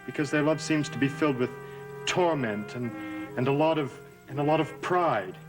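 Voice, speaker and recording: An actor playing an earnest, brooding young man in a gothic soap opera, speaking deliberately. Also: on the high side, music in the background, natural voice. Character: earnest young man, newly worldly, bitter about love.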